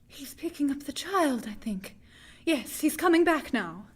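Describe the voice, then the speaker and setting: A woman's voice: high voice, lively intonation, measured pace. An actress playing an anxious, tender young woman in a vintage radio horror drama, with an earnest, dramatic delivery. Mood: hushed, anxious.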